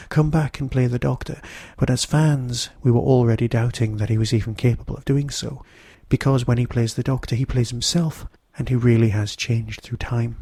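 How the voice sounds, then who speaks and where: A male podcast host with a hoarse, creaky voice and a mellow tone. He talks straight to his listeners as a solo reviewer, recorded dry and close. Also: mid-range voice, no echo. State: hoarse from a throat infection.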